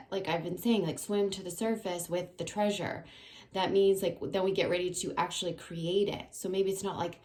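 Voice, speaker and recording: A woman's voice: lowish voice, a little mellow. A woman doing a casual, meandering tarot reading, with many fillers and a slight tickle in her throat that brings the odd cough. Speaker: tarot reader.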